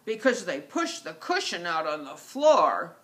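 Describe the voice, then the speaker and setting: A woman's voice: lowish voice, very animated, unhurried. A woman voicing a giant, indignant papa bear in a children's storybook, complaining emphatically.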